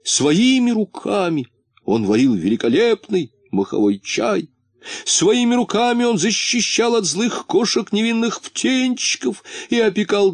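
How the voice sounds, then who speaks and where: A man voicing an anxious, soft-hearted, tearful little storybook character who laments and stammers. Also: lowish voice, dry recording, heavily acted.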